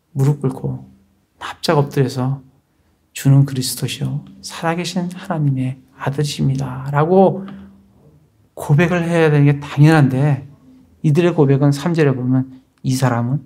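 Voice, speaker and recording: Mellow-voiced pastor preaching. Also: male voice, on the high side. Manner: conversational preaching with rhetorical questions. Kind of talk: sermon.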